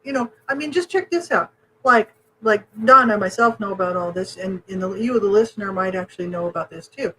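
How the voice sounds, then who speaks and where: A woman's voice: medium pitch, fairly quick, a bit bright, breathy edge, low-quality recording. A woman hosting a podcast, chatty and indignant, who often breaks in to agree with her guest. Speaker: podcast host. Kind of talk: podcast conversation.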